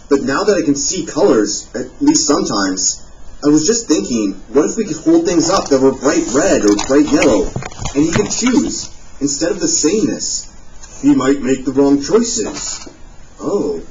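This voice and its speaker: A man narrating a novel aloud, giving the dialogue expressive readings. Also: mid-range voice. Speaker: audiobook narrator. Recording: distant, dull sound with faint hiss.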